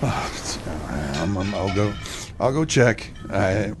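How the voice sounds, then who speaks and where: A man's voice: low-pitched, lively intonation, slow pace. Stammering scared husband played by a man, old-time radio drama acting, noisy track. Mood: startled. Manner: stammering, panicky. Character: Marshall, frightened man searching at night. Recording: loud background noise. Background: loud noise.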